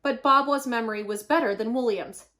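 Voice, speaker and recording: A woman reading a children's picture book aloud expressively, with dramatic, emphatic pauses. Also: a little high, fairly bright tone. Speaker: storyteller.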